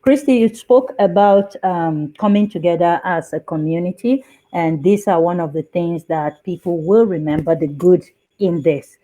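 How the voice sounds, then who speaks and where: Low-voiced woman host, chatty n keen, on a video call show. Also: moderate pace, warm tone, low-quality recording. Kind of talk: talk show hosting.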